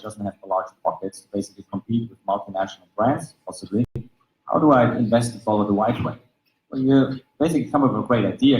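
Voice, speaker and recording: A man with an Austrian German accent, a native German speaker, gives a conference presentation in a low voice. He explains step by step and keeps checking in with the audience. His stage microphone carries the echo of a large event hall. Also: a little mellow. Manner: explanatory, fillers like "basically" and "right?". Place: conference venue.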